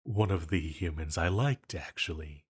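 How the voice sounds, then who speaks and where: A man's voice: sits low, normal speed, breathy edge. A man playing an ancient banished villain entity in an intimate roleplay audio, flirty yet lonely, musing hesitantly. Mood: self-conscious, regretful.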